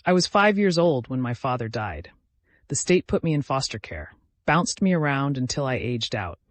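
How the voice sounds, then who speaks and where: A man's voice: medium pitch, very animated, moderate pace, no echo, lightly acted. A man voicing a determined, haunted young man in his twenties, speaking earnestly in a dramatic audio story. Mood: grim resolve. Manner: earnest, resolute.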